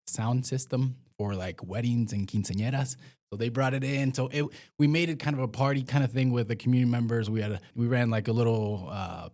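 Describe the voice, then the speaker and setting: A man's voice: on the low side, darkish tone. A young man from Mexico, a former pro soccer player, talking in a casual interview with many fillers and restarts.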